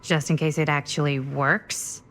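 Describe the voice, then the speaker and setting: Low, creaky, guarded young woman. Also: little pitch movement, unhurried, fairly bright tone, a little hiss or hum. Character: guarded, skeptical young woman, weary but level-headed. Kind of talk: naturalistic cinematic horror game acting.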